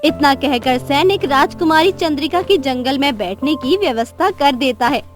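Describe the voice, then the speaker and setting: A woman's voice: high-pitched, lively intonation, normal speed. A woman narrating a scripted cartoon story as the storyteller. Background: background music.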